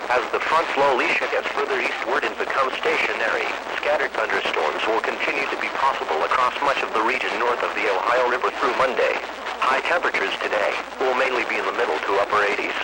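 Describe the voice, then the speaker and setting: A synthetic-sounding man reads a formal weather bulletin in a measured, impersonal way as an automated announcer heard over radio. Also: medium pitch. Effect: radio broadcast reception. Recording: very noisy weather-band radio reception.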